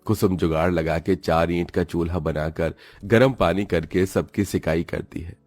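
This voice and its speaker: A man's voice: low voice, fairly even intonation, normal speed, a little mellow, no echo. A man narrating a dramatic scripted story. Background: faint music.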